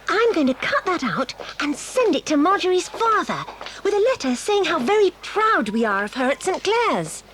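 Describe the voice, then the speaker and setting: A woman playing a warm-hearted, bossy schoolgirl with an English accent in a children's audio drama. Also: high-pitched, background noise. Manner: earnest, plucky, jolly schoolgirl talk. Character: warm-hearted, bossy, breezy boarding-school girl, a twin.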